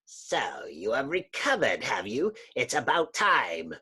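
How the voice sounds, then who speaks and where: A woman voicing a bitter, menacing male underground villain in a husky, grandiose, theatrical comic-book reading. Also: very deep voice, wildly swooping pitch, takes it slowly, bright, forceful tone, exaggerated voice. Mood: menacing, gloating.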